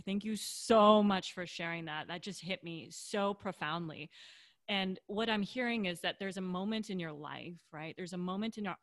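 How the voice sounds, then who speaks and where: A woman's voice: lowish voice, fairly bright tone, dry recording. A woman in her thirties hosting a podcast, earnest and affirming, speaking conversationally into a studio microphone in a room. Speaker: podcast host, interviewer. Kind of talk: conversational motivational interviewing. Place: indoor room, close to microphone. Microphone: large studio microphone on boom arm.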